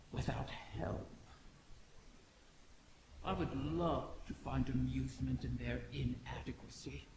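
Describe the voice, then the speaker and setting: An actor playing a sardonic, menacing dragon with a mocking, theatrical, condescending manner in a video game cutscene. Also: male voice, mid-range voice. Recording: long echoing reverb, hissy ambience.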